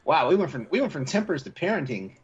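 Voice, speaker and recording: A man's voice: a little high, very animated, fast talker, dull audio, slight background noise. A man co-hosting a podcast, chatting casually and rambling as he repeats words for emphasis. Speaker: podcast co-host.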